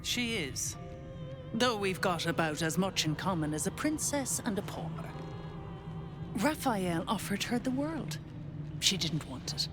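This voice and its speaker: A woman's voice: mid-range voice, animated, a little slow, natural voice. An actress playing a sly, worldly female devil in a video game, speaking in a mocking, knowing, breezy way. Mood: scornful, storytelling. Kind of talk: video game character acting. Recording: game music behind. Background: music.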